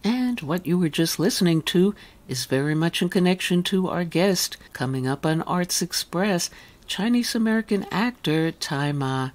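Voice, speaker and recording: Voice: medium pitch, expressive, moderate pace. A radio host reading a scripted introduction in long, list-laden sentences.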